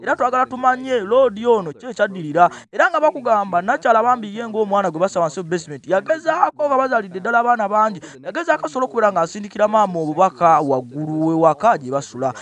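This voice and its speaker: A man hyping a movie as its narrating VJ, excitable and chuckling, repeating his catchphrases. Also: sits high, racing, few pauses. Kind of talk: hyped movie trailer narration.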